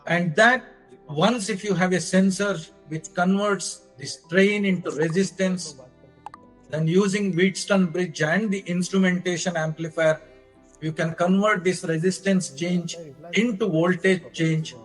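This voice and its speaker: An Indian-accented man delivers a technical lecture in a fairly high voice. He speaks slowly and deliberately, laying out each step in short phrases. Faint music sits in the recording. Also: fairly even intonation, low-quality recording. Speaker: lecturer presenting own engineering research.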